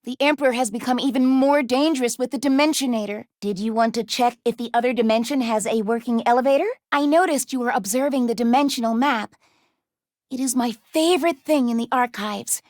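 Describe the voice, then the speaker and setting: A small, earnest robot girl from a video game, acted by a woman, with a high voice and very dry sound. She speaks precisely and formally, like a careful scholar, always polite and a little cautious. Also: brisk, darkish tone, no room sound, natural voice. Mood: earnest, studious. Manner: precise, formal diction without contractions. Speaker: video game companion character.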